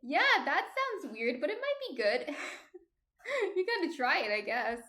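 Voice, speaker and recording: A soft-spoken woman in her thirties with a New Jersey accent, casually chatting and answering viewer comments on her stream. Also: sits high, sing-song, a little slow. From New Jersey, USA.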